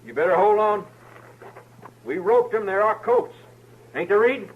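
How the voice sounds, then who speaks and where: A man's voice: high-pitched, animated, unhurried, breathy edge, exaggerated voice. An actor playing an eager, boastful young frontier boy in a 1950s radio western. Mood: tense, stubborn. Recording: dull, noisy old radio recording.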